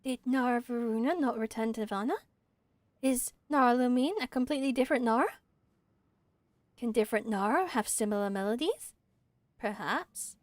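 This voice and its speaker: A woman voicing a small, gentle, earnest forest spirit from a video game, childlike and simply spoken in measured phrases. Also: a little high, no room sound, lightly acted. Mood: wondering.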